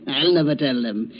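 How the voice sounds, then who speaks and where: Highish male voice, murderous chemist, resentful, jittery, agitated, melodramatic, 1930s radio drama, muffled old recording. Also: slight background noise, exaggerated voice.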